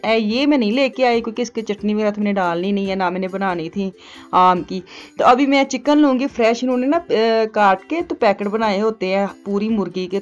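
Woman, middle-aged, rambling homely narration, dull lo-fi sound. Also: medium pitch. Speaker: vlogger. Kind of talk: chatty voice-over narration. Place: home, recorded as voice-over.

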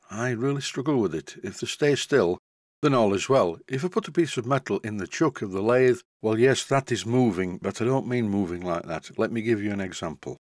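Dry-humoured older man, low voice. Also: dry recording. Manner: self-deprecating, dry humour. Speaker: model engineering tutor. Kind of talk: scripted voice-over narration.

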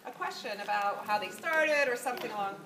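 High-voiced woman announcing to a crowd. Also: lively intonation, a little fast, slight background noise. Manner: bright, projecting announcer. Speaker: event host. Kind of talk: announcing guests. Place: hotel ballroom. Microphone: handheld mic. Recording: echoey hall from far off, loud crowd.

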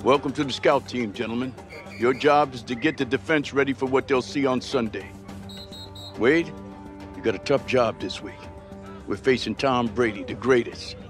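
A calm, plain-spoken American football coach in a game cutscene, acted by a man. His voice sits at a medium pitch, with music clearly behind it. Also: moderate pace, lightly acted. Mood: instructing. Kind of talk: video game cutscene acting.

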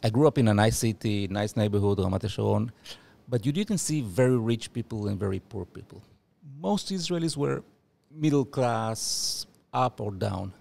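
A man in his forties, originally from Uruguay, talking in a podcast interview with halting restarts and fillers, on a studio mic. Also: fairly low pitch, vocal fry, darkish tone. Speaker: guest, former diplomat. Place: studio office room. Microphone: studio mic with headphones.

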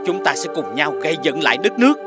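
A man playing a benevolent king in an animated fairy tale, formal and declamatory. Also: on the high side, fast. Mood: earnest, regal. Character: benevolent king addressing his subjects. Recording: music behind the voice.

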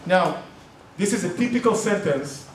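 A man's voice: high-pitched, lively intonation, normal speed. Israeli man presenting in an echoey room. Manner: chatty, self-interrupting, joking asides. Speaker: real estate investing presenter and group organizer. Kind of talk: live seminar presentation. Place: meeting room with projector. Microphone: far camera. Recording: roomy echo, distant camera, faint noise.

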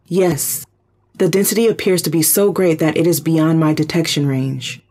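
A performer voicing a calm, precise spaceship computer in an audio drama, speaking formally and literally. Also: mid-range voice, moderate pace, close to an everyday voice. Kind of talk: audio drama, analytical reporting.

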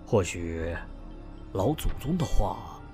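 A knowing family elder played by a man recounts an old family legend in a fan audio drama. His voice sits somewhat low and he tells it in an even, measured way. Soft music plays behind him. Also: exaggerated voice. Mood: explaining. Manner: explanatory, storytelling, measured.

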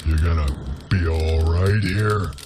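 A man playing a stoic, gruff bounty hunter beast in a western video game, speaking in a terse drawl. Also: low voice, fairly even intonation, slow pace, over loud music. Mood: grim, steady. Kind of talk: video game cutscene acting.

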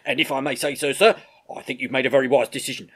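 A man voicing a blunt, loyal army sergeant in a storybook, brisk and plain-spoken with rustic grammar. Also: medium pitch, fairly even intonation, slightly rough, voice pushed up, natural voice. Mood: brisk, barking.

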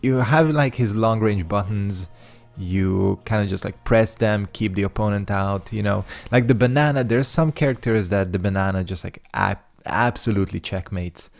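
A man's voice: lowish voice, normal speed, vocal fry, few pauses, faint music behind. A young man whose first language is Hungarian, casually rambling over his own fighting game matches with loose slang and lots of fillers. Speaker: gaming channel host. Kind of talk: casual commentary over gameplay.

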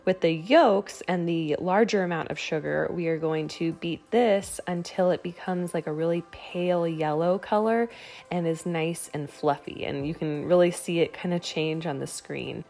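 A woman's voice: on the low side, moderate pace, gravelly, a little mellow. An American woman giving a casual recipe voice-over in a conversational, explanatory way, as a home baking vlogger.

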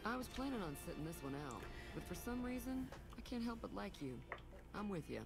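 Quick, gravelly small-town wasteland woman. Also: mid-range voice, very animated, over music. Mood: wry, conceding. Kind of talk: video game character dialogue.

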